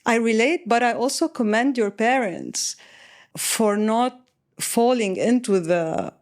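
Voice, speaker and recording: Animated woman host with ready laughs. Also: a little high, slow. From Lebanon. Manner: animated, laughs often while storytelling. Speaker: podcast host. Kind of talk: conversational interview.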